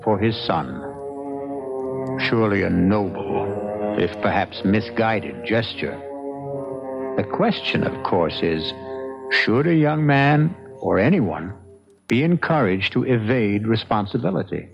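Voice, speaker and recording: A man narrating a 1970s radio mystery in a measured, formal, theatrical, gravelly voice. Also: fairly low pitch, lively intonation, over music, exaggerated voice. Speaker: host and narrator of a mystery drama series. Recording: dull vintage 1970s radio recording.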